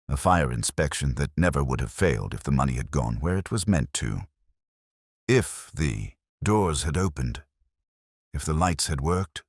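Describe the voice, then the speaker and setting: A man voicing a composed, precise woman in an audiobook, speaking smoothly and with restraint as she confronts wrongdoers. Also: a bass voice, dry recording, studio quality, full character voice. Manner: smooth, measured, untheatrical.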